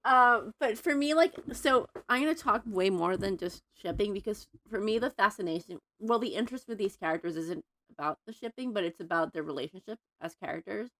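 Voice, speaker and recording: A young adult woman from Mexico, a fan fiction writer chatting as a podcast guest, animated and full of fillers as she thinks aloud. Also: medium pitch, a little fast, few pauses, poor audio. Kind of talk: casual podcast chat.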